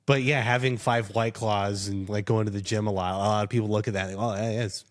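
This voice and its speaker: Lowish-voiced man rambling casually. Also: fairly even intonation, fast talker. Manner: rambling, filler-heavy, self-deprecating. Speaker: podcast guest. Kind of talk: casual chat.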